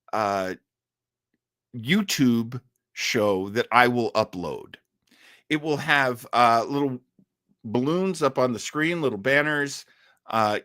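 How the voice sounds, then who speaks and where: A man's voice: medium pitch, fairly bright tone, no room sound, clean studio sound. A middle-aged man hosting a live stream, chatting casually and reading viewer comments in a rambling, enthusiastic way with frequent hesitations. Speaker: live-stream host of an old-time radio show.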